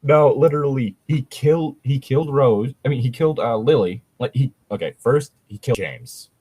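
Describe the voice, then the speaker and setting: A man co-hosting a casual comedic fan fiction reading, joking crudely and swearing in an animated way. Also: medium pitch, normal speed, breathy edge, no echo.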